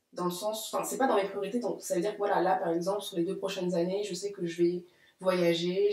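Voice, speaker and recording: A woman's voice: medium pitch, warm tone. A woman in her late twenties, a guest in a casual discussion, who talks reflectively in long runs. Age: late 20s. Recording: roomy echo.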